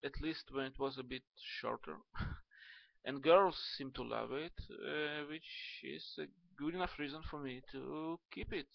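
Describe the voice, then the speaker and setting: A young man from Ukraine, a native Russian speaker, with a Russian and Hebrew accent, talking to camera in a halting, casual way with many fillers and restarts. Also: medium pitch, vocal fry, dry recording, lo-fi sound. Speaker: video blogger.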